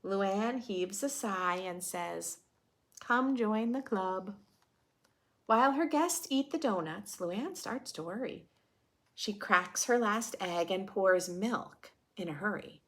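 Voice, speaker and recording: A woman reading a children's storybook aloud in a dramatic, playful teacher's way, pausing to put questions to the listener. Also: medium pitch, lively intonation, vocal fry. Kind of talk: expressive storybook read-aloud with teaching asides.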